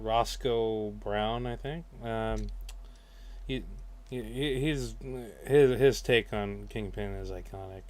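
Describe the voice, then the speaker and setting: A man chatting casually on a stream in a halting, repetitive way. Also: fairly low pitch, a little mellow. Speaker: streamer and video editor. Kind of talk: casual chat.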